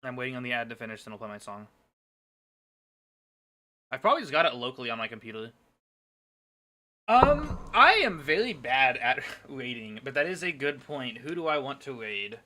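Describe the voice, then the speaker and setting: Bright-voiced man hosting a stream. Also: medium pitch, very animated, moderate pace, long pauses. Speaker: livestream host. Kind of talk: casual livestream chat.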